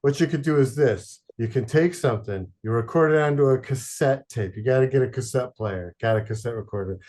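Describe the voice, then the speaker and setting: A man from Detroit, a podcast guest, chatting casually in a halting, rambling way with many fillers and deadpan jokes. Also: mid-range voice. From Detroit, Michigan. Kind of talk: casual chat.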